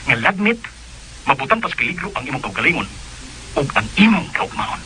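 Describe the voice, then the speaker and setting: Serious filipino friend in radio drama, very sharp bright voice, sincere, faint hiss behind. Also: male voice, mid-range voice, very animated, low-quality recording. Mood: serious, cautioning. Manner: serious, deliberate, mixes in English. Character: sincere, apologetic, cautioning male friend.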